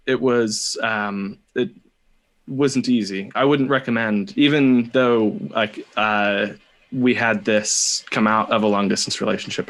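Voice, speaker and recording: A man's voice: lowish voice, fairly bright tone. Hesitant American man telling a story. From United States, California. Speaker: podcast guest. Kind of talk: casual personal storytelling.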